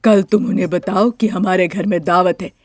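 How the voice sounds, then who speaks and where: A woman's voice: on the low side, fairly even intonation, moderate pace, a little mellow, dry recording, close to an everyday voice. A woman voicing a scheming, stingy middle-aged mother-in-law in a story, brazen and bossy, with music behind.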